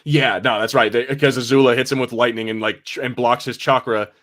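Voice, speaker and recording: A man's voice: medium pitch, fairly even intonation, brisk, fairly bright tone. A man hosting a podcast in casual fan chat, informal and sweary, thinking aloud as he goes. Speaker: podcast host.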